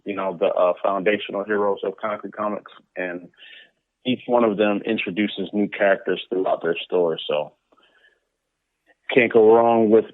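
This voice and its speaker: A male interview guest with a lowish voice, heard over a narrow phone-like line with no room sound. His delivery is casual and conversational. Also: steady tone, moderate pace, no room sound. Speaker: interview guest, comic book creator. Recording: dry, tinny phone-like line.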